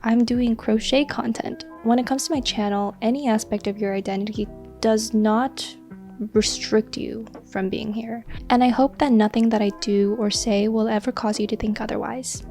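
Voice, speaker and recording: A woman in her twenties, a crochet creator chatting casually and earnestly as she answers viewer questions, sometimes dropping to a soft whisper. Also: a little high, steady tone, moderate pace, soft background music. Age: 20s. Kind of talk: casual Q&A chat.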